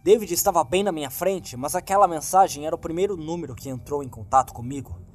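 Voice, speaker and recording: A man's voice: a little high, quick pace. Brazilian man narrating horror over music. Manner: suspenseful, acts out the lines. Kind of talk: dramatic scripted narration of a horror story.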